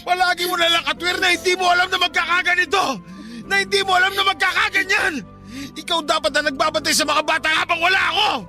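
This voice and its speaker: Guy doing a really high bright-voiced dad, yelling and sobbing over his lost kid, music under it. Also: fairly even intonation, fast, heavily acted. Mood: furious. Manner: shouting, sobbing, accusing. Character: grieving furious father, a charcoal worker. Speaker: father. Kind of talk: audio drama acting.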